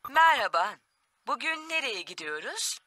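A polite talking camper van in a cartoon. The voice is fairly high and measured, giving directions slowly with a lively lilt. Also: female voice, heavy background noise. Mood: polite, instructing. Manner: measured, instructional. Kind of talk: children's cartoon dubbing.

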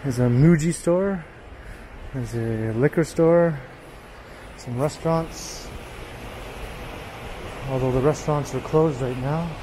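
A man giving an unhurried, casual running commentary while walking through an indoor mall, filmed on a handheld camera. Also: a little high, background noise. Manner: relaxed, unhurried commentary with pauses. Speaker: walking tour vlogger. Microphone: handheld gimbal camera.

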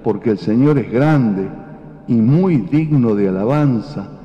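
Older priest in an echoing church. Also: male voice, medium pitch, expressive, a little slow. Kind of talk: Gospel reading and homily. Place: large brick church. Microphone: lectern gooseneck microphone. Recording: echoey church hall, dull sound.

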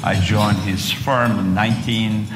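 A man's voice: fairly low pitch, steady tone, measured pace, noisy background. A man in his sixties with a Turkish accent, telling his story as an onstage guest with many fillers and restarts. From Istanbul, Turkey. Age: 60s. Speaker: guest speaker, real estate developer. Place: large hotel ballroom luncheon on stage. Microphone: lapel mic. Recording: echoey ballroom with long reverb, loud dish clatter. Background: clinking cutlery and dishes.

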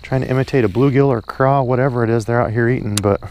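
A man's voice: mid-range voice, fast, a little mellow, slight background noise. A young man chatting casually and upbeat while fishing outdoors from a pond bank, filmed on a head-mounted action camera. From Iowa, USA. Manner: chatty, upbeat, folksy sayings. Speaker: fishing vlogger.

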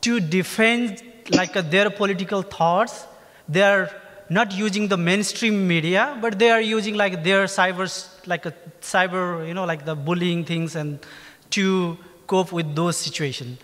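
A man with a Nepali accent asking an audience question at a stand microphone, halting and full of filler phrases. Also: high-pitched, fairly bright tone. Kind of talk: unscripted public question. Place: theatre, standing below the stage. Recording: reverberant theatre hall.

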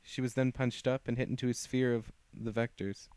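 A young man reading his own fan story aloud, halting and restarting often, then chatting casually to viewers. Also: mid-range voice, little pitch movement, a little mellow, clear, resonant tone, dry recording. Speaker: fan fiction reader.

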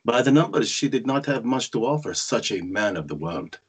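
A man's voice: medium pitch, sing-song, normal speed, breathy edge. A man playing a wry, brooding grown son who narrates his parents' story in a screenplay cold read over a video call. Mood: wry, reflective. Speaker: actor reading narrator part. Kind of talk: dramatic first-person narration at a cold read. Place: indoor room on a video call.

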